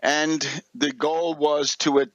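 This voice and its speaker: Higher-pitched man, deliberate political commentator. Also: flat delivery, no echo, highs cut off. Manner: deliberate, emphatic, with pointed sardonic phrasing. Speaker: radio commentator and host. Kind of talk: extemporaneous political and historical commentary.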